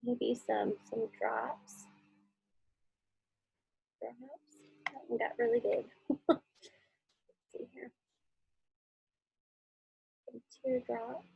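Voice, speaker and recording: Woman, children's teacher. High, husky voice, chatty drawing instruction with frequent check-in questions, close dry sound. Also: fairly even intonation, extremely slow, long pauses, mellow, no echo, muffled recording.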